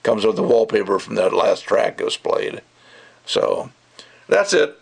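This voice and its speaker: An older man with a gravelly, creaky voice giving a casual, rambling review in an opinionated, emphatic way. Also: lowish voice, very animated, measured pace. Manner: opinionated, rambling, emphatic, acts out mocking quotes. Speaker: audio gear reviewer. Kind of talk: casual ranting video review.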